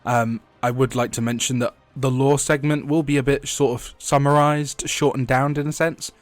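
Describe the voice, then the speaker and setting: A man's voice: medium pitch, flat delivery, moderate pace, dry recording. A young man with a head cold, sounding a bit congested, reading game lore for a video essay and dropping chatty asides along the way. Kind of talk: scripted video essay narration.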